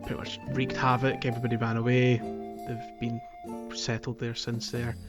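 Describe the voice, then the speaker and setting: A man's voice: medium pitch, monotone, moderate pace, loud music behind the voice. A man hosting a podcast, chatting casually and thinking aloud as he goes. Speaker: podcast host. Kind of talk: casual gaming podcast chat.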